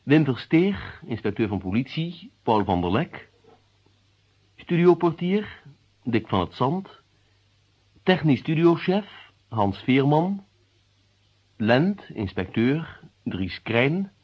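A man formally and deliberately reading the closing credits of a radio play as its announcer. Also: medium pitch, big pitch swings, breathy edge. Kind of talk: formal scripted broadcast announcement. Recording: dull, muffled old radio recording.

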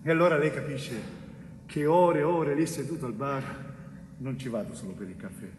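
An actor playing a wrongly convicted Sicilian bricklayer in a theatrical monologue, tormented and tender, pleading haltingly with repetitions and dramatic pauses. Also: male voice, medium pitch, lightly acted. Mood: tender, confiding. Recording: echoey hall, distant mic, faint noise.

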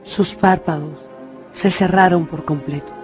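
An actress narrating an adventure novel as a storyteller in a dramatized radio audiobook. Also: female voice, fairly low pitch, steady tone, unhurried, soft background music, lightly acted. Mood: tender storytelling.

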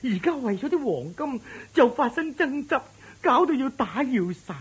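Husky, anxious old servant. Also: male voice, very high voice, lively intonation, moderate pace, full character voice. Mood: anxious pleading. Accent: Hong Kong Cantonese. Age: older. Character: anxious, loyal old male family servant. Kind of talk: Cantonese radio drama acting.

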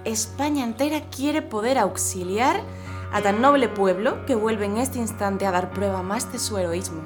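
A woman plays a young military telegraphist in a radio drama. She reports in a formal, deferential way with medium pitch, music playing behind her. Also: moderate pace, close to an everyday voice. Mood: dutiful reporting. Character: dutiful, brave young female military telegraph officer. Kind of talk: period audio drama acting.

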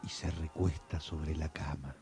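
An actor narrating a domestic radio melodrama as a wry, solemn storyteller with a measured delivery. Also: male voice, a bass voice, flat delivery, dark tone, a little hiss or hum. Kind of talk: scripted radio drama narration.

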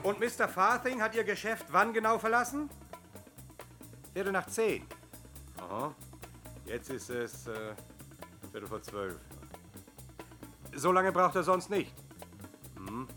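An actor playing a brisk police officer taking a report, firing questions in a 1970s German radio crime play. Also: male voice, sits high, sharp tone, full character voice. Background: music with drums.

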